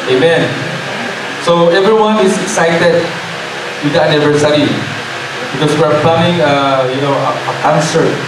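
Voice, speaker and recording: Filipino pastor, middle-aged, halting delivery full of fillers; distant, very reverberant church-hall recording. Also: male voice, fairly high pitch. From Philippines. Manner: halting, many restarts and "you know" fillers. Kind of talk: sermon. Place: church hall with stage, indoors. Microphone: handheld mic. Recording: echoey hall from far off, background noise.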